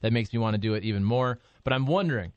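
A man's voice: medium pitch, quick pace, no room sound, highs cut off. A man in his thirties hosting a casual sports talk show, asking questions in a playful, joking way. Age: 30s. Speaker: radio host.

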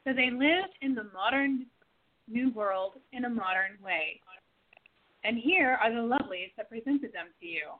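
Woman narrator, clear announcer delivery, slightly high, tinny line. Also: animated, moderate pace. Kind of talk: scripted narration. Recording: tinny phone-like sound.

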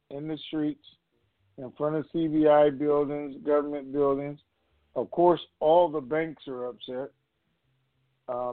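A man's voice: mid-range voice, no echo. Man on a muffled phone line. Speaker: conference call host. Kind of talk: casual, rambling talk to a group call. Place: telephone conference call. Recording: muffled, narrow-band phone conference line.

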